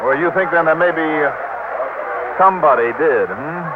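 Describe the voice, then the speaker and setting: An actor playing a level-headed American insurance investigator who asks clipped, probing questions in 1950s radio style. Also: male voice, fairly high pitch, moderate pace, close to an everyday voice. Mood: probing, measured. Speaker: lead actor in a radio detective drama. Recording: very noisy old radio recording, narrow band.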